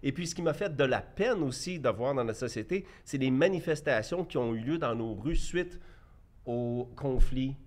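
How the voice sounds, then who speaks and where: A man's voice: mid-range voice, expressive, moderate pace. A man in his fifties with a Québécois accent, giving his blunt opinions as a guest in a debate, often restarting his sentences. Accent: Québécois French. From Quebec. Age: 50s. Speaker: guest, entrepreneur. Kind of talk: debate interview.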